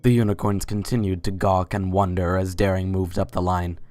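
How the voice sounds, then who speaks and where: Man, low pitch, scripted fiction narration, dramatic reading, faint music behind. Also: flat delivery, moderate pace, a little mellow, no echo. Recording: music under the voice. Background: background music.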